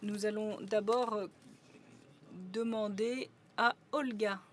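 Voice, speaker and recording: A woman doing simultaneous interpretation at a conference, a steady relay full of brief hesitations. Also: medium pitch, slow pace, a little hiss or hum.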